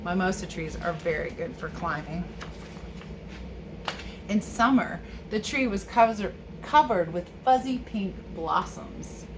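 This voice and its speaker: A woman's voice: on the low side, a little slow. A woman teacher reading a picture book aloud to children with chatty asides. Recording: clear background ambient noise.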